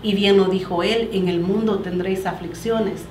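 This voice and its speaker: A woman's voice: mid-range voice, steady tone, normal speed, distant mic. A middle-aged woman from El Salvador with a Salvadoran accent, sharing her personal testimony as a guest and quoting scripture earnestly. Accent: Salvadoran Spanish. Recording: echoey room with background noise.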